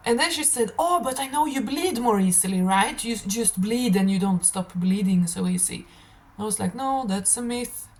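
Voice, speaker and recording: Young female tarot streamer, non-native English, slightly raspy, medium pitch, scattered and chatty delivery, noisy ambient recording. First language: not English. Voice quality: a little raspy. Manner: scattered, animated storytelling. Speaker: tarot reader hosting a stream. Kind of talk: casual rambling monologue.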